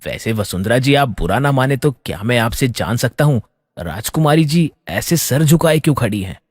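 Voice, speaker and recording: A man's voice: mid-range voice, lively intonation, moderate pace, darkish tone, breathy edge, dry recording, studio quality, in a large hall. A man playing a young, courteous, slightly flustered prince in a fairy tale, polite and hesitant. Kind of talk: Hindi fairy tale audio acting.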